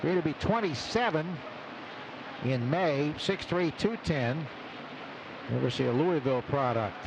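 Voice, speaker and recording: A man calling live baseball play-by-play on the radio in short, clipped phrases packed with stats between pitches. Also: lively intonation, normal speed, creaky. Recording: noisy live outdoor radio broadcast. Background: loud ballpark ambience.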